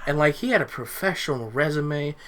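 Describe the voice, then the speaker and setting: A young man who hosts a podcast, chatting casually and haltingly, repeating words as he thinks. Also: a little high, animated, fairly bright tone. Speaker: podcast host and amateur voice actor. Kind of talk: casual chat.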